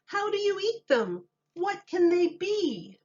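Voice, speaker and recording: A woman voicing puzzled, excitable storybook townspeople, exclaiming questions. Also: extremely high pitch, takes it slowly, dull audio, pitched above the performer's own voice, full character voice.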